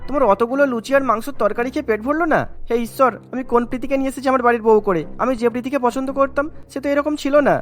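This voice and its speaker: A man's voice: extremely high pitch, racing, faint music behind. A man voicing an exasperated young husband who nags his wife in a cartoon. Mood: dismayed. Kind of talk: Bengali cartoon voice acting.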